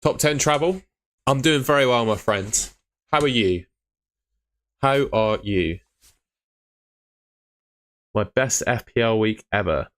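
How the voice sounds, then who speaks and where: A man's voice: medium pitch, fairly bright tone. A young man who is losing his voice, streaming from his room at home and answering viewer questions in a blunt, chatty way. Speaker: fantasy football streamer.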